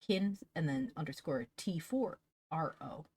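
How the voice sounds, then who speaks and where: Calm woman streamer, slightly low voice. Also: a little slow, husky. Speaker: livestream host reading stories aloud. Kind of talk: casual livestream chat.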